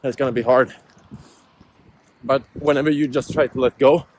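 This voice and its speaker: A man's voice: mid-range voice, fairly even intonation, normal speed, darkish tone, slight background noise. A man, a vlogger musing aloud in casual talk about life and goals. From Sweden. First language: Swedish. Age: 20s. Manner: reflective, musing, self-motivational. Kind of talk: casual vlog talk to camera.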